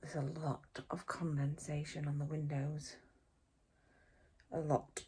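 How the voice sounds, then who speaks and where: A woman vlogging from a cold sunroom at home first thing in the morning, chatting in a rambling way with plenty of ums, her voice still croaky from sleep. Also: low-pitched, little pitch movement, slow pace, a little mellow, slight background noise. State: croaky, just woken up. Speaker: vlogger, mother. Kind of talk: casual morning vlog chat to camera.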